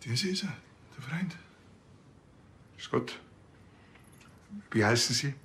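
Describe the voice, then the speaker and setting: A man's voice: medium pitch, expressive, slow pace, pushed and bright, a little hiss or hum, heavily acted. An actor playing a cocksure, talkative village policeman in a comedy crime series, with a Bavarian accent and a colloquial way of explaining. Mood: matter-of-fact.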